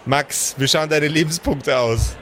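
A man's voice: fairly high pitch, unhurried, fairly bright tone, a little hiss or hum. A man, speaking colloquially as the game master of an improvised tabletop game. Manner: colloquial, swears casually.

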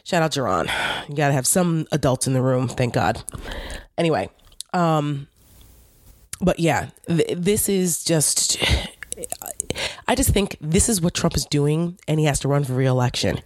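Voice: medium pitch, expressive, unhurried, pauses often, dry recording, studio quality. Blunt New Jersey-accented political commentator. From New York / New Jersey area. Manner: blunt, indignant, swears freely. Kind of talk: casual opinionated monologue.

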